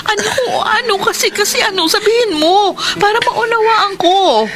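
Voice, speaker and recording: An actress playing an affectionate, easily hurt young fiancée in a Filipino radio drama. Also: female voice, very high voice, normal speed, over music. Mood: shocked, distraught.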